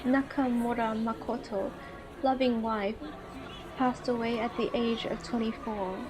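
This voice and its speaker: A woman casually commentating on a game and reading its text aloud, with expressive reactions. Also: fairly high pitch, measured pace. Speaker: gaming video host. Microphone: earphone mic.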